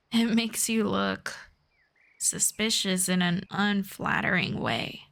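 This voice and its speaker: Woman voicing a slow-spoken, gentle elf friend. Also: mid-range voice. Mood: impressed, teasing. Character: gentle, teasing young elf friend of the forest. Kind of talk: roleplay audio drama, ASMR.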